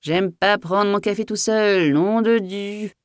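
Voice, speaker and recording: A woman voicing an old male farm master in a storybook reading, with blunt, bossy, rustic speech and a quick temper. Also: lowish voice, darkish tone, no room sound, clean studio sound, lightly acted. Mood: angry grumbling. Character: old, jovial, hot-tempered male farm master who bellows orders.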